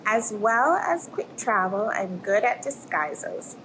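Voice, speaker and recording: A woman voicing a friendly, plucky little octopus in an expressive rhyming picture-book read-aloud. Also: mid-range voice, slow pace, full character voice, low-quality recording. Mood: anxious, suspenseful. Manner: expressive storytelling. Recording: clear background noise, aquarium room ambience.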